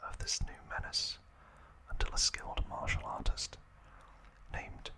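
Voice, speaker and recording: A man narrating ASMR trivia in a soft, breathy whisper, phrasing everything deliberately. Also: rough recording. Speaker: ASMR host.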